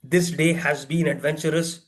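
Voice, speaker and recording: A man's voice: fairly high pitch, fairly even intonation, moderate pace. A man giving a humorous, exaggerated storytelling speech, theatrically acting out dialogue and putting rhetorical questions to listeners. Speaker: workshop speaker telling a tall tale.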